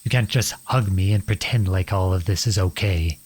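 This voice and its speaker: A man playing a noble runaway outlaw prince in a dramatic audio roleplay, earnest and imploring, with a husky voice. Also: low voice, normal speed, a little mellow. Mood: angry, hurt. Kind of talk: dramatic ASMR roleplay acting.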